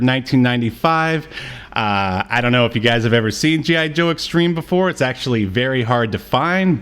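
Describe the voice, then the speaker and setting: A man's voice: medium pitch, a bit bright. A man co-hosting a podcast with casual, joking banter and a wry, tongue-in-cheek delivery. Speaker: podcast co-host.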